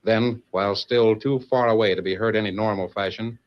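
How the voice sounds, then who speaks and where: A man's voice: on the low side, steady tone, fairly quick. A man giving suspenseful, declamatory scripted narration in the style of an old-time radio serial. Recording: dull vintage radio, music behind.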